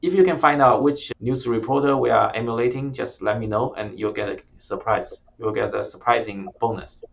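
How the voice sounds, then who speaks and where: A man's voice: medium pitch, normal speed, creaky, few pauses, dull audio, a little hiss or hum. A man who is not a native English speaker, a startup founder explaining his product in an interview, speaking plainly with hesitant restarts. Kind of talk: interview conversation.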